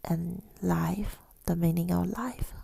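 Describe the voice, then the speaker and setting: A woman speaking in a soft, breathy whisper in an ASMR style, reflective and hesitant, pausing often, close to the microphone. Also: on the low side, steady tone, no echo. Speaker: ASMR creator. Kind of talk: ASMR, rambling personal reflection.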